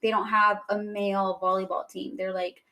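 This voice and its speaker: A woman's voice: medium pitch, flat delivery, moderate pace, bright, forceful tone. A woman who grew up speaking Spanish alongside English, chatting casually as a podcast co-host in a rambling, informal way full of filler "like". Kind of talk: casual chat about anime.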